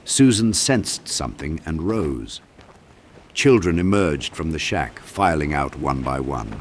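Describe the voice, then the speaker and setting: A man with a deep, low voice reads a dark fantasy audiobook. His delivery is scripted, measured and dramatic. Faint ambient sound sits behind the voice. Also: animated, a little hiss or hum. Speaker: audiobook narrator. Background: low ambient sound design.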